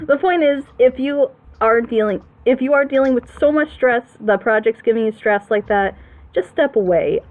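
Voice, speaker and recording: A woman with a fairly high voice hosts a casual group chat. She rambles in an animated, encouraging way, offering advice to listeners. The sound is narrow and tinny, like a voice call. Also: moderate pace. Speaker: host of a group reaction show. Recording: thin, band-limited voice chat audio.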